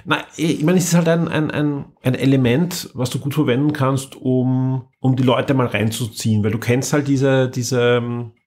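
Husky man chatting on a podcast. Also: medium pitch, steady tone, moderate pace, few pauses, a little mellow. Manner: informative, fills in facts and figures. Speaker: podcast co-host. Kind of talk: casual chat.

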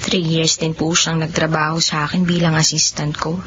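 A woman's voice: deep voice, level tone, moderate pace, fairly bright tone. A woman playing a melancholy, respectful grown daughter in a Filipino radio drama. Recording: muffled, noisy lo-fi track.

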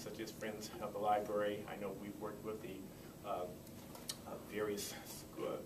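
Low-voiced middle-aged man at a podium. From Southern California. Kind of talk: informal public speech of thanks. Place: library community room, indoors. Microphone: far camera microphone. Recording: distant camera, echoey room, clear noise.

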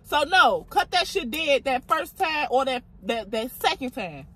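Girl in her 20s ranting to her phone in the car, bright voice, real outspoken. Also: sits high, very animated, a little slow. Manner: blunt, outspoken, chatty. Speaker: vlogger. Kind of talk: casual talk to camera. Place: parked car. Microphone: phone camera on dashboard.

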